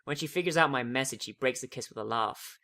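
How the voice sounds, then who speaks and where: A man's voice: medium pitch, quick pace, bright, forceful tone. A man giving a dramatic first-person reading of a fan fiction story.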